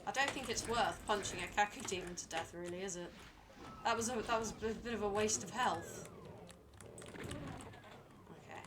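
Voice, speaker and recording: A woman's voice: medium pitch, moderate pace, pauses often, noisy background. A British woman streaming a game, talking to herself and chatting to viewers in a dry, rambling way. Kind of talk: live gameplay commentary.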